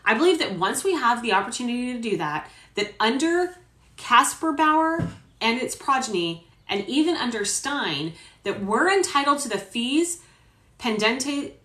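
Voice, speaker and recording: A woman's voice: on the high side, very animated, moderate pace, a bit bright, voice pushed up. A woman reading the part of an indignant male attorney arguing in court, formal, emphatic and self-righteous. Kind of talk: dramatic reading of court argument. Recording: roomy echo.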